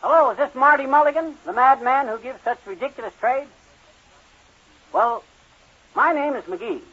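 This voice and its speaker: An actor playing a stubborn, folksy, penny-pinching husband in an old-time radio comedy, blustering and arguing. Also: male voice, extremely high pitch, moderate pace, fairly bright tone. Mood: loud, eager, indignant. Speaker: comic lead in a radio sitcom. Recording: dull vintage radio recording.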